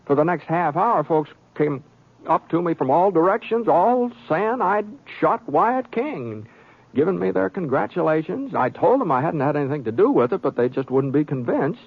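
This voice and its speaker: A husky American gunslinger, modest and folksy, forever stumbling into polite protest. His pitch moves around freely, and the vintage radio recording is dull and muffled. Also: sing-song, moderate pace, no room sound, exaggerated voice. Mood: bemused narrating. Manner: hesitant, folksy, stammering protests. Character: modest, flustered male gunfighter of the Old West. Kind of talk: old-time radio western acting with first-person narration.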